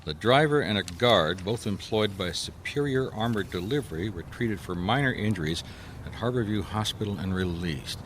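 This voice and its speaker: An actor playing a dogged, skeptical private detective in a 1950s radio drama, wry and colloquial. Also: male voice, on the low side, slight background noise, close to an everyday voice. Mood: reading aloud. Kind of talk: radio detective drama acting, with first-person narration.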